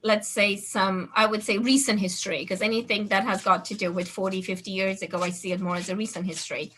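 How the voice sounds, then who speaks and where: Bright-voiced middle-aged woman telling her story. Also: mid-range voice, fast, a little hiss or hum, low-quality recording. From Iran. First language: Farsi. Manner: animated storyteller, clears her throat often. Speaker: podcast guest. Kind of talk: personal storytelling in an interview.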